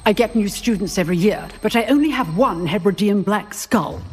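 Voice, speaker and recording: A woman playing a battle-hardened old magic professor in a video game, lecturing drily and briskly in a British accent. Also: medium pitch, lively intonation, a little mellow, slight background noise, natural voice. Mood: wry, proud. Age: older. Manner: dry, brisk, authoritative lecturing. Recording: long hall reverb, faint music.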